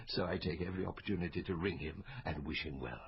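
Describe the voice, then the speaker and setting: An actor playing a wry, urbane medical officer of health in a radio drama, with a husky voice and a measured, donnish, gently teasing manner. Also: male voice, deep voice. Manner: droll, measured, donnish, given to quoting poetry. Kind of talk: BBC radio drama acting. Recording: dull, muffled radio recording.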